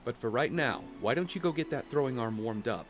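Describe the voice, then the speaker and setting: A man acts out a proud, affectionate father in an audio drama. His voice sits at a middle pitch, gentle and reassuring, with faint music underneath. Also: lively intonation, fairly quick, lightly acted. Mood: playful, affectionate. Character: loving, proud doctor father, gently teasing.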